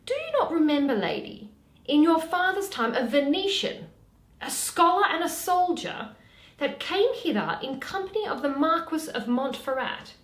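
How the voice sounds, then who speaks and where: A woman's voice: sits high, very animated, moderate pace, pitched above the performer's own voice. A woman playing a loyal, curious lady's maid in a theatrical Shakespearean reading, polite and coaxing. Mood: eager. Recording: roomy, echoey home recording.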